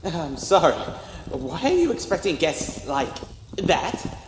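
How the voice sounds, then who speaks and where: A nervous, highish-voiced young assistant. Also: male voice, big pitch swings, slow pace. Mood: unsure, curious. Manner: hesitant, bewildered. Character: nervous, naive male lab newcomer. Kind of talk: sci-fi comedy audio drama acting. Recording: echoey hall, faint background noise.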